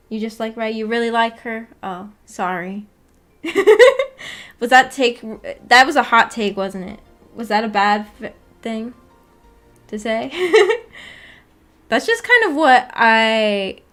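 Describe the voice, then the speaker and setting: High-voiced woman streamer, giggly and chatty. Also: fairly bright tone. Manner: giggly, playfully teasing and apologetic; rambling, halting, filler-heavy talk with frequent "like". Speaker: livestream host. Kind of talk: casual livestream chat with viewers about anime.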